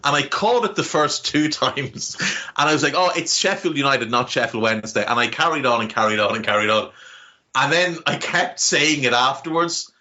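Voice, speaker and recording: A man co-hosting a casual podcast from home, talking in an animated way and swearing freely as his pitch jumps up. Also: moderate pace, dull audio. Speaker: podcast co-host.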